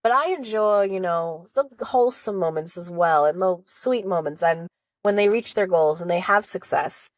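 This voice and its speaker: A woman's voice: lowish voice, normal speed, no echo. A woman with a cold, casually recapping a reality show episode in a rambling, chatty way and signing off with encouragement. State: sniffly with a cold. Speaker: reality show reaction vlogger.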